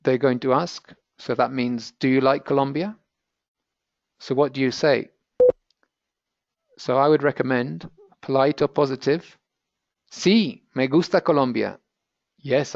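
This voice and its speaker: Gravelly British man teaching a webinar. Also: medium pitch, darkish tone, dry recording, highs cut off. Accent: British English. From Britain. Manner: encouraging, explaining patiently. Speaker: language teacher presenting a webinar. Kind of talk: webinar language lesson.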